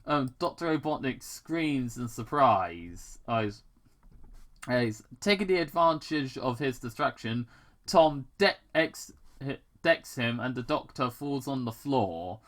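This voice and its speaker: Slow, halting amateur fan fiction reader. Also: mid-range voice, lively intonation. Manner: halting, stumbling, repeats words. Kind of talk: amateur story read-aloud.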